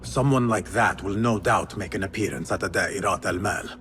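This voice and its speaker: An actor playing a resolute, guarded assassin in a video game, speaking in measured, serious and formal phrases. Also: male voice, deep voice, a bit bright, soft background music. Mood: focused, determined. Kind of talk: dramatic game cutscene acting.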